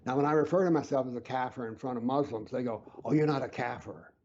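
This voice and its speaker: A man being interviewed who explains his views earnestly and emphatically, sometimes dropping to a low whisper to stress a point. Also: quick pace, a little mellow, highs cut off, a little hiss or hum. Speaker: interview guest, author.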